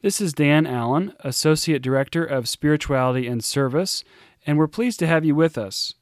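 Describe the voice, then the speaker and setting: A man hosting a podcast, reading a polite scripted intro and asking measured interview questions. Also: on the high side, dry recording, studio quality. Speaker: podcast host.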